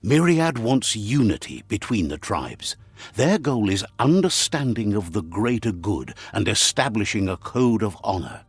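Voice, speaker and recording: Low husky male game narrator. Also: a little slow, dry recording. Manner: calm, relaying what characters say. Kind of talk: scripted storybook narration. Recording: studio voice-over with soft game music.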